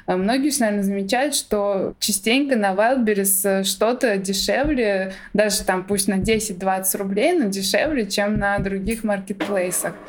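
A woman's voice: medium pitch, moderate pace, few pauses. A woman speaking as an interviewed guest, explaining things informally step by step with some hedging. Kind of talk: conversational explanatory interview talk.

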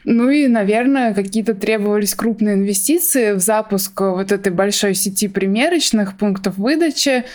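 A woman's voice: mid-range voice, moderate pace, few pauses, darkish tone. A woman, a journalist guest, explaining things in interview talk with tentative, hedged phrasing.

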